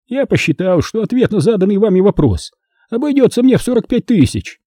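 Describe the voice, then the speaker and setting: A man's voice: high voice, sing-song, a little fast, dark tone, no room sound, clean studio sound, pitched above the performer's own voice. A man voicing an arrogant, contemptuous male film producer in an audiobook, speaking in outraged, scornful exclamations.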